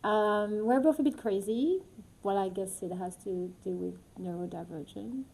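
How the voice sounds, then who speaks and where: A woman's voice: mid-range voice, moderate pace, gravelly, mellow, slightly rough, rough recording. A woman from France, a native French speaker with a French accent, chatting casually as co-host of a show. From northeastern France. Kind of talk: casual chat between friends.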